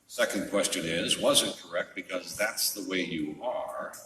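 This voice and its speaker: A man playing a world-weary, wisecracking private detective in a noir radio drama, speaking in a wry, deliberate way. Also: low-pitched, background noise, lightly acted. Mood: sardonic, assured. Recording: echoey hall, distant mic.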